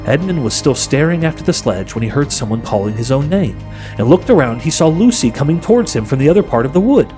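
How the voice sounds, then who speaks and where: A man reading a children's story aloud as an expressive audiobook narrator. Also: fairly high pitch, fast talker, soft background music.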